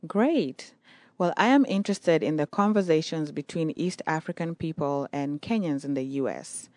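A woman's voice: low-pitched, moderate pace, dark tone, no echo, studio quality. A young adult woman hosting an interview, asking polite, brief questions. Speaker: interviewer, host.

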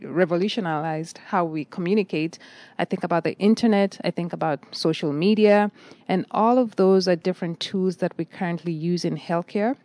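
A middle-aged woman, a nursing director answering interview questions in a measured, articulate way with frequent fillers. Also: on the low side, darkish tone, dry recording. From Kenya.

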